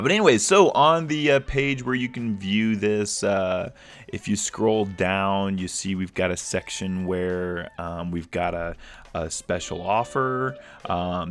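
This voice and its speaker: Man, low voice, rambling livestream chat, lots of ums and restarts, bit congested, faint music underneath. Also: fairly bright tone. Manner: rambling, filler-heavy, restarts often. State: slightly congested from a cold. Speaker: livestream host.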